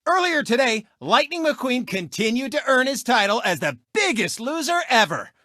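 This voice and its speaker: An actor voicing a cocky, boastful talking race car who hosts a sports show in a video game, with smug, showy, mocking commentary. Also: male voice, very high voice, sing-song, normal speed, pushed and bright, no room sound, clean studio sound, full character voice. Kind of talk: animated sports commentary and taunting.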